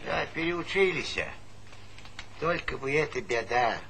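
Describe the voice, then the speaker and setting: An actor playing a folksy, fussing old village grandad who coaxes and nags in a Soviet radio play. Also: male voice, on the high side, measured pace, pushed and bright. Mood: sly, needling. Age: older. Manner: folksy, coaxing, nagging repetitions. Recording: noisy 1970s radio drama recording.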